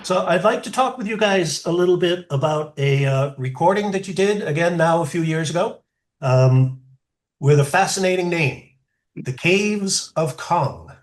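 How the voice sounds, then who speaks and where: A man hosting a podcast, relaxed and jokey. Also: a little high, normal speed. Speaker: podcast host. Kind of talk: casual interview chat.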